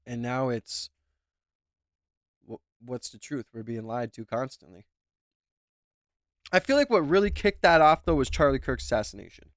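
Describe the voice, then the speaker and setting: A man's voice: mid-range voice, expressive, normal speed, pauses often, no room sound, studio quality. A man commenting as a YouTuber, talking in a rambling, emphatic way and repeating himself. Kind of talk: casual reaction commentary.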